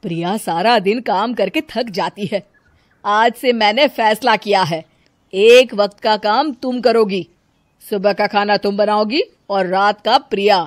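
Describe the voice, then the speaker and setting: Lady playing a bossy cartoon mother-in-law, slow and cutting, dry close sound. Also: mid-range voice, dry recording, lightly acted. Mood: scolding. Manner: sharp, taunting, bossy. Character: scolding middle-aged mother-in-law.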